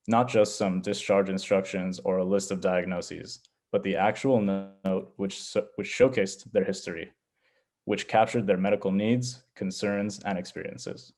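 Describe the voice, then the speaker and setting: A man's voice: low-pitched, a little mellow. A man giving scripted explanatory answers as a panelist on a health education program.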